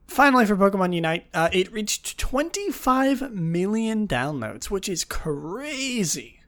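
A young man with a sick, raspy, groggy voice, hosting a casual news podcast and rambling with many fillers and restarts. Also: sits high, fairly bright tone. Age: young adult. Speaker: podcast host.

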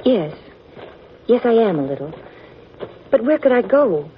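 A crisp, guarded lady who owns a country estate. Her pitch moves expressively in old radio drama style. The vintage recording is dull, with light hiss. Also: very animated, a little slow. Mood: anxious, forthcoming. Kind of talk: 1940s radio drama acting. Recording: muffled, hissy 1940s radio recording.

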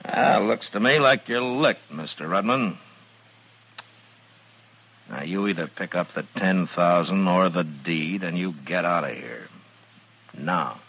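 Old radio western: wry marshal, deep voice with a hard bright edge, terse, steady authority. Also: male voice, takes it slowly, long pauses, husky, heavily acted, poor audio. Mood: stern. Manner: laconic, dry. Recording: vintage radio drama recording.

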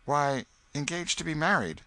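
A man's voice: fairly high pitch, lively intonation, normal speed, pushed and bright, no room sound, pitched above the performer's own voice, rough recording. A man voicing an eager, cajoling young schoolboy in love, with folksy, ungrammatical talk, in a storybook reading. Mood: excited, boastful.

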